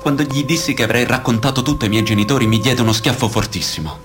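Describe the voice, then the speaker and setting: A man's voice: mid-range voice, fast, faint music behind, natural voice. A man reading scripted reports and testimony in a radio documentary play. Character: male victims recounting teenage abuse by priests.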